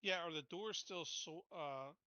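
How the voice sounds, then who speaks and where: A man talks casually as a player at a roleplaying game. His voice sits a little high and has a sharp, forward edge. The recording is very dry and a touch dull. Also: unhurried, no echo. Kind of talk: casual game-table chat.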